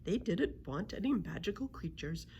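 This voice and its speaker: A woman's voice: lowish voice, expressive, moderate pace, darkish tone, lo-fi sound, slight background noise. A woman voicing a small, polite but indignant male dragon with a head cold in a children's storybook. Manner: courteous, fussy, complaining.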